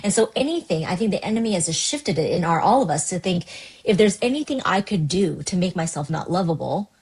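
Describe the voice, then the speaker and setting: Husky earnest woman pastor, lowish voice. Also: a little fast, low-quality recording. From United States, Chinese immigrant family. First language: Cantonese. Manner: earnest, animated, many restarts. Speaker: pastor, guest. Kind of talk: candid conversational podcast talk.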